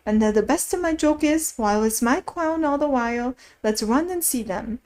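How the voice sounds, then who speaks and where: A fussy old king from a storybook, acted out by a woman, pitched on the high side. He is pompous and impatient, easily alarmed and given to exclaiming. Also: expressive, normal speed, a little mellow. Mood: excitable.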